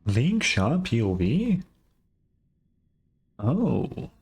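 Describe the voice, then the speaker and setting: A man's voice: medium pitch, wildly swooping pitch, extremely slow, gravelly, a little mellow, slightly rough. A man giving casual live reactions to a video game, often repeating words as he hesitates.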